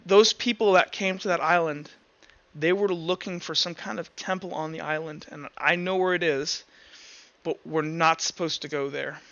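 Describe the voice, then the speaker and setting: Man playing a haunted, halting young woman. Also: fairly high pitch, fairly even intonation, fairly bright tone, lo-fi sound. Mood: guarded. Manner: halting, self-justifying. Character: haunted, defensive young woman who enthralled her best friend.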